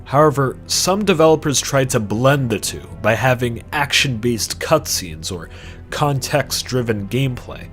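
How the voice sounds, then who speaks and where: A man's voice: medium pitch, expressive, unhurried, a bit bright, faint music behind. A man narrating a scripted video essay about games in an emphatic, conversational way with sarcastic asides.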